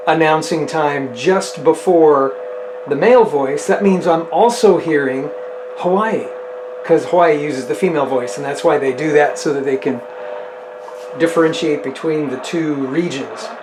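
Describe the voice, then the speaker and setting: A man's voice: a little high, normal speed. Male hobbyist host, casual and hands-on, talks through his tinkering, audible background noise, roomy acoustic. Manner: thinks aloud while tinkering. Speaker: host of a radio hobby video. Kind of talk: casual hands-on demonstration, talking to camera.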